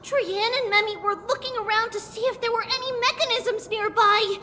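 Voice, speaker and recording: A woman voicing an excitable, curious little girl in a video game, with a childlike manner and easily alarmed. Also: very high voice, normal speed, long reverb tail, a little hiss or hum. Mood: anxious. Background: background music.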